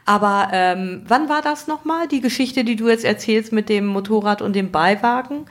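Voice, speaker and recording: A woman's voice: fairly high pitch, normal speed. A woman hosting a podcast, interviewing in a casual conversational way. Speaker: podcast host.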